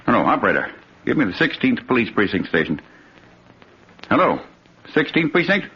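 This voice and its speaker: A man's voice: medium pitch, very animated, fairly quick, slightly rough, heavily acted. An actor playing a terrified, guilty man who stammers and pleads, in 1950s radio drama style. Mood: suspicious, nervous. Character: terrified, guilty man awaiting his killer. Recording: muffled, noisy old radio recording.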